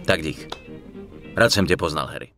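A young ex-soldier mobster in a game cutscene. He speaks low and tersely, keeping things cool and understated, with faint music underneath. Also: fairly bright tone. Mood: reserved, polite. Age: young adult. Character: reserved young war-veteran mobster, cool and laconic. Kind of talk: dubbed video game cutscene acting.

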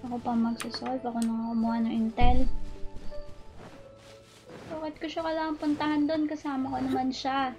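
A woman's voice: high-pitched, flat delivery, a little fast, pauses often, darkish tone. A woman with a Filipino accent giving casual commentary as she plays through a video game. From Philippines. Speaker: gamer narrating a playthrough. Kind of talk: casual gameplay commentary mixing Tagalog and English. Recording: game music behind the voice. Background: video game music.

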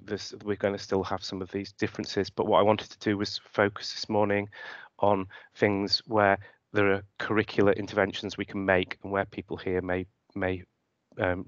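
A man's voice: on the low side, a bit bright, no room sound. A middle-aged man answering conference questions over a video call, hesitant and self-deprecating, with many restarts. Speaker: keynote speaker. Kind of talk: conference talk and Q&A. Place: home room on video call. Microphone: headset. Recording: muffled lo-fi video call audio.

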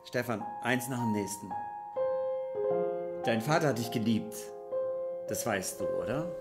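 A man's voice: medium pitch, measured pace, over loud music, lightly acted. A man playing a concerned best friend in an improvised radio play on stage, insistent and reassuring. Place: small curtained theatre stage. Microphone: stand microphone.

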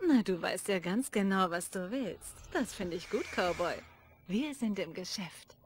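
A woman's voice: mid-range voice, animated, a little slow, a little hiss or hum, natural voice. An actress playing a sultry female casino dealer in a video game, flirtatious and teasing. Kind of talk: acted video game cutscene dialogue.

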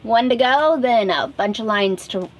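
A woman's voice: fairly high pitch, expressive, unhurried, lo-fi sound. A woman in her thirties casually explaining a DIY project as a vlog host. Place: small wood-panelled boat cabin indoors.

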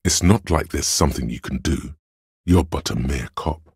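A cool, superior voice of pure reason for a video game. It is extremely deep and heavily husky, full of gravel. The delivery is measured, deliberate and dryly analytical, like dramatic narration. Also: male voice, dry recording, studio quality, full character voice. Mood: wry, urging. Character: cool, analytical inner voice of Logic, dry and superior.

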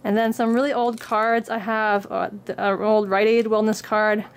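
A woman's voice: medium pitch, level tone, moderate pace, slight background noise. A young woman getting over a cold, casually showing viewers what she carries in her bag. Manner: reads book excerpts with upbeat lift, then chats plainly. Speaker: craft vlogger. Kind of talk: casual show-and-tell. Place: indoor room against a plain backdrop.

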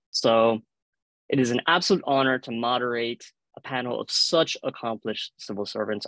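A man's voice: medium pitch, vocal fry, a bit bright, dry recording, poor audio. A man with a Cuban accent moderating a panel over a video call, formally reading out prepared introductions. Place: indoor room on a video call. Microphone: video call microphone.

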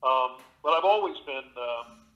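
A man's voice: mid-range voice, lively intonation, unhurried, highs cut off. A middle-aged man, an actor and audiobook narrator, talking casually in an interview from his home studio with a theatrical, expansive manner.

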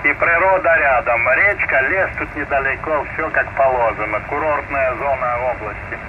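A man's voice: mid-range voice, moderate pace. Muffled man over noisy shortwave radio. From Ukraine. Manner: chatty, hesitant fillers, friendly. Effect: shortwave radio transmission. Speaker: amateur radio operator. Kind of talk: casual ham radio chat. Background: radio static and noise.

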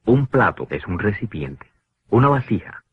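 A man's voice: lowish voice, big pitch swings, normal speed, no room sound. A man narrating an educational cartoon from a script in a didactic, explanatory manner. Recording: dull lo-fi old cartoon soundtrack with music. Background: clear background music.

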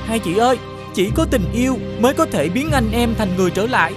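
A woman's voice: a little high, animated, quick pace, over loud music, lightly acted. A woman playing a grateful, earnest little boy who explains things in a scripted children's fairy tale.

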